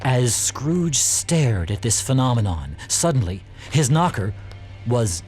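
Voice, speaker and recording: A man narrating a classic story in audio theatre, with measured, emphatic, expressive delivery. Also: medium pitch, faint music behind.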